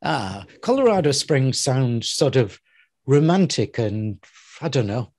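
A man's voice: medium pitch, sing-song, slow, a little mellow, no room sound, studio quality. An older man hosting an interview, talking playfully with theatrical emphasis on a headset mic. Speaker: host. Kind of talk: interview intro and questions.

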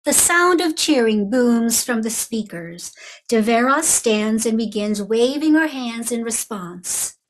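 A woman reads scripted stage directions for a play. Her voice sits a little on the high side. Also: animated, slow, rough recording. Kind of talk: scripted narration.

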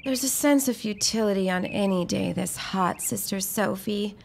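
An actress playing a poised, dramatic young debutante elder sister with airy, formal diction in a period audio drama. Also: female voice, mid-range voice, moderate pace, vocal fry, a little hiss or hum, natural voice. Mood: airy, affectionate. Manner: airy, affected, formal old-fashioned diction.

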